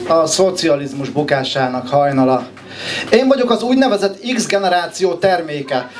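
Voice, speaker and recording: A man in his twenties from Hungary performing rhythmic, rhyming slam poetry with a wry, ironic delivery and pauses before his punchlines. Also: a little high, lively intonation. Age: 20s. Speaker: slam poet, contestant.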